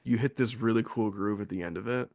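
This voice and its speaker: A man's voice: fairly low pitch, fast talker, no room sound, studio quality. A young man from western New York hosting a podcast, chatting casually and easily with lots of fillers. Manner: relaxed, filler-heavy, laughs easily. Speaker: podcast host. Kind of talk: casual chat.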